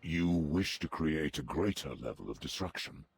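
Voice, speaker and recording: An actor playing a grave, devoted servant of a dark god in a fantasy game, solemn and deferential with a gravelly voice. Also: male voice, extremely low pitch, expressive, normal speed, full character voice. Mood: solemn, obedient. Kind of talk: scripted fantasy game dialogue.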